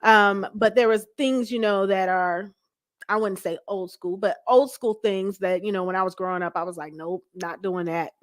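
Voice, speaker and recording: A woman's voice: mid-range voice, normal speed, no echo. Brief: American woman, forties, candid conversational guest, tells stories with quoted self-talk, dry sound. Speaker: guest, pastor's wife. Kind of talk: casual interview chat.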